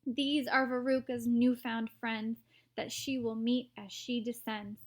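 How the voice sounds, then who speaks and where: A woman's voice: a little high, close to an everyday voice. A woman voicing a gleefully moralising chorus of little workers, delivering rhyming verse in a mocking sing-song. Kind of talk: rhythmic rhyming verse recitation.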